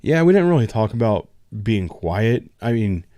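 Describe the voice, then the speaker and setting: A man with a low voice talks loosely and frankly, a patrol officer chatting on a casual livestream. He hedges with ums and restarts his sentences. The sound is dry and close. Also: sing-song, normal speed, mellow, dry recording. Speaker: patrol officer, show host.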